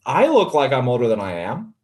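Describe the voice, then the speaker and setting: A man's voice: mid-range voice, huge pitch swings, fairly quick, a little mellow. A man in his late twenties co-hosting in casual chat, joking in an easygoing way and often saying "like". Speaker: co-host. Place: indoor home room on a video call.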